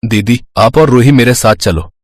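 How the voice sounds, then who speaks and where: A man playing a warm-hearted village man, reassuring and enthusiastic, in an audio story. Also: medium pitch, moderate pace, no echo, clean studio sound, lightly acted.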